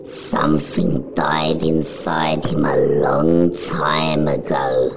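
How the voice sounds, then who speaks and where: A woman giving a solemn, measured, scripted dramatic narration of a story. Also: extremely low pitch, creaky, noisy background. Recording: dull recording, music behind.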